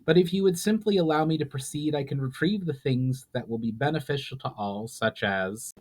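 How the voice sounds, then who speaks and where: A man reads as a male elf in a fantasy storybook. He is earnest and courteous. His words are formal and measured. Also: mid-range voice, pitched above the performer's own voice, close to an everyday voice, low-quality recording. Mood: pleading.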